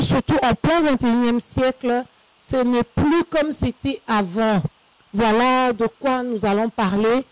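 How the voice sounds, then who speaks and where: Female host of a faith talk show, slow and measured, dry close sound. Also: fairly high pitch, darkish tone, no room sound, rough recording. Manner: slow, measured, with frequent hesitations.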